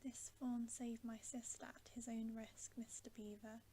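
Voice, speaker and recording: A woman whispering the part of an urgent, well-meaning schoolboy in a storybook, halting yet insistent. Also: fairly high pitch, flat delivery, dark tone, husky.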